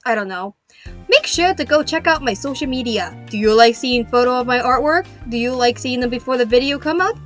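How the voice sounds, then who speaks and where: A young adult woman narrating a craft video as host in a playful, animated, self-mocking way. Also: fairly high pitch.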